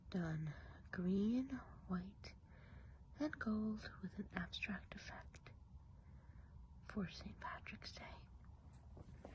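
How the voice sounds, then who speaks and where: Woman, breathy whisper, ASMR host, gentle and hushed, medium pitch, faint background noise. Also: rough recording.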